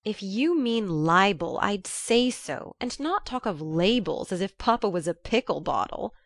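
A woman's voice: medium pitch, expressive, unhurried, no echo, studio quality. A woman voicing a boyish, hot-tempered teenage girl in an audiobook story, brusque and emphatic. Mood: defiant. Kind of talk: audiobook character acting.

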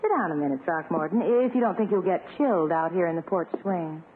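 A woman playing a poised, gently teasing lady courted by rivals in a 1950s radio sitcom, coy and softly probing. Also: fairly low pitch, fairly quick, narrow, tinny audio, natural voice. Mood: curious. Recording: thin, noisy 1950s radio recording.

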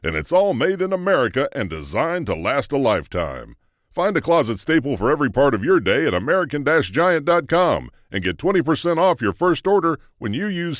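A man's voice: medium pitch, no room sound, clean studio sound. A man reading a scripted modern advertisement. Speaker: advertisement reader.

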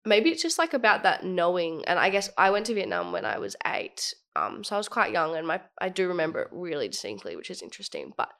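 A woman's voice: on the low side, wildly swooping pitch, fast talker, few pauses, fairly bright tone. A young woman in casual chat, talking in a chatty way with lots of fillers and frequent laughs.

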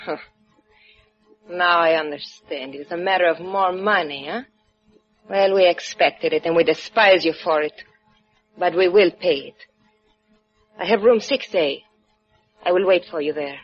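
An actress playing a proud, mysterious Cuban woman in a radio drama, formal and measured with a Spanish accent. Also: lowish voice, soft background music, natural voice. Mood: haughty, scornful. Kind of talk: 1950s radio drama acting. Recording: dull lo-fi old radio recording.

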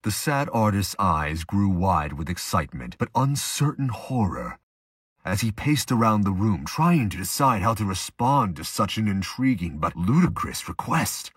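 A man with a husky voice narrating a dark audio drama slowly and ominously, with dramatic pauses. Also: fairly low pitch, big pitch swings, no echo, studio quality.

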